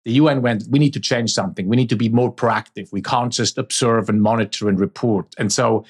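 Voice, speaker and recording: A man's voice: medium pitch, steady tone, fairly quick, breathy edge. A middle-aged man from Switzerland, a native Swiss German speaker with a Swiss German accent, giving conversational answers as an interview guest.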